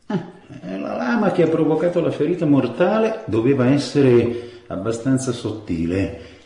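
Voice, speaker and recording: Morgue doctor, clinical and unhurried, lively swooping intonation, echoey room, radio drama. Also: male voice, a little high, gravelly. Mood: clinical, detached. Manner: measured, clinical. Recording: echoey room, faint noise.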